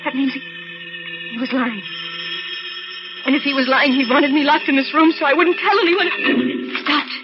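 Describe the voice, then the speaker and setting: High-pitched frightened heroine, anxious and halting, muffled vintage radio suspense drama. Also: female voice. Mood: rising fear. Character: frightened, trapped woman alone with a killer. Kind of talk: 1940s radio thriller acting. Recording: muffled vintage radio, loud music behind.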